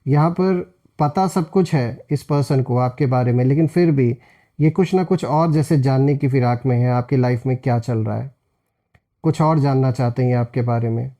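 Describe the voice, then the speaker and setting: A man's voice: medium pitch, fairly even intonation, moderate pace, dark tone. A man giving a tarot reading as host, explaining things and addressing viewers directly as friends. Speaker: tarot card reader and host.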